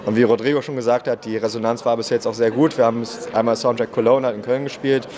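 A man's voice: medium pitch, fairly even intonation, fast, darkish tone. A man in his thirties, a marketing manager and musician, answering interview questions in a chatty way with many fillers. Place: indoor room at a festival. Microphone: handheld mic held by interviewer.

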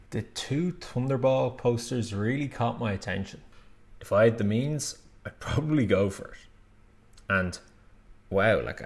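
A young man in his twenties hosting a casual vlog indoors, chatty and enthusiastic. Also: sits low. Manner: chatty, enthusiastic, laughs at own asides. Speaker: channel host. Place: indoor room, seated at a table.

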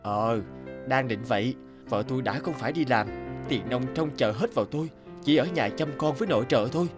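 A man's voice: mid-range voice, fairly quick, music in the background, lightly acted. A man voicing a disgruntled, condescending young husband and breadwinner in a cartoon. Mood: grumbling. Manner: grumbling, condescending. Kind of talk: animated moral-tale voice acting.

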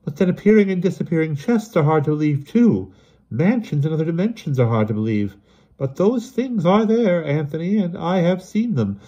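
A man's voice: on the high side, expressive, normal speed, mellow, husky, voice pushed up. A man voicing a weary, grave older brother who speaks with theatrical, exasperated emphasis, as in an audiobook. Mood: snapping, insistent.

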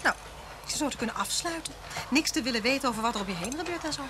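Chatty, lively, higher-voiced schoolteacher woman. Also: very fast. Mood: chatty, curious. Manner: sociable, forthcoming, questioning. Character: chatty, friendly, inquisitive unmarried female schoolteacher. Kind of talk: vintage Dutch radio play acting. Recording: noisy old radio play recording.

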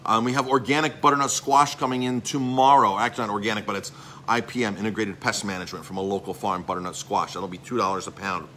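A middle-aged man runs through his restaurant's news in a chatty, salesy way, with plenty of ums and restarts. His voice sits a little low but comes across bright and forceful. A faint hum of noise stays in the background. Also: fairly even intonation, quick pace. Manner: chatty, salesy, filler-heavy rambling. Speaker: chef and restaurant owner. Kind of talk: casual live-stream update.

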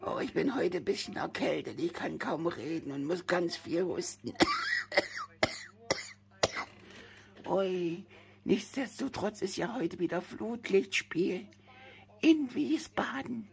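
A woman's voice: on the low side, huge pitch swings, takes it slowly, gravelly, long pauses, exaggerated voice. A woman voicing a sweet, sniffly cheese worm puppet, coughing in a homemade puppet play. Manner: singsong, swooping, cosy. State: has a cold, coughing.

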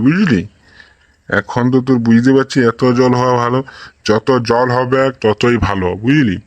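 A man's voice: medium pitch, no room sound, lightly acted. A man playing a folksy village schoolteacher in a comic cartoon, lecturing in a rural western Bengali accent and often checking that he is understood.

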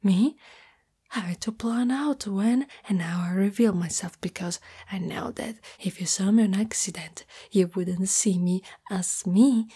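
A woman plays a young spider-girl in love, shy and afraid of being rejected. Her voice is mostly whispered and very breathy, with a dark, mellow tone. She speaks slowly and hesitantly, as in an intimate ASMR girlfriend roleplay. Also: mid-range voice. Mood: hurt, pleading. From Italy.

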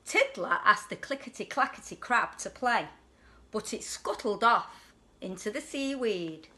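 A woman in her forties reads a picture book to children in an animated, deliberate way, leaning on the rhyming words. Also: medium pitch. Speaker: deputy headteacher reading a children's story. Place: indoors at home on a sofa.

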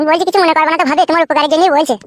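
A woman's voice: high voice, fairly even intonation, very fast, no echo, studio quality, close to an everyday voice. A woman voicing a scheming young village man in a cartoon, speaking in a wheedling, rustic way. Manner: wheedling, rustic dialect speech. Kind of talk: cartoon voice acting.

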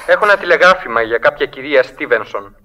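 Sharp, bright-voiced telegraph clerk. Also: male voice, a little high, lively intonation. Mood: businesslike. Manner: formal, reading out mechanically. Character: businesslike male telegraph office clerk. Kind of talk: radio drama acting.

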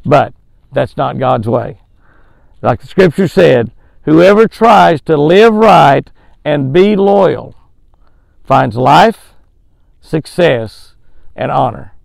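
A man's voice: a little high, creaky, a little mellow, no room sound. An older man with a Southern US accent, hosting a fishing show outdoors by a lake, reading a devotional and giving a fishing tip in a folksy, chuckling way. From American South. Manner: folksy, chuckling, drawn-out emphasis.

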